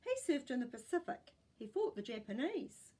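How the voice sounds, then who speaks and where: A woman's voice: sits high, very animated, normal speed, mellow, husky, voice pushed up, exaggerated voice. A woman voicing a kindly grandmother who remembers her childhood with tender sadness in a storybook. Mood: informative. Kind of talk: storybook character voice.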